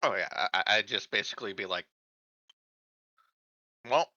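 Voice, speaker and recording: A man playing a tabletop game, chatting casually and haltingly, often repeating his words. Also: lowish voice, bright, forceful tone, no room sound, lo-fi sound. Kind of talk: casual chat during a roleplaying game.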